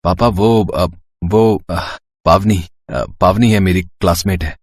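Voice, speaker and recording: A man's voice: sits low, takes it slowly, dry recording. A man voicing a friendly, earnest college student in an audio story, boyish and a little hesitant. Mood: bashful. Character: friendly, earnest young male college student, rich builder's son.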